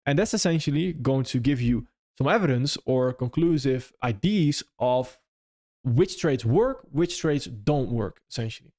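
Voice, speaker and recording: A man's voice: mid-range voice, expressive, unhurried, a little mellow. A young man, not a native English speaker, hosting a live stream, reading viewer questions aloud and answering in a loose, conversational way with many restarts. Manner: loose and conversational, frequent restarts and "if that makes sense". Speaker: trading stream host.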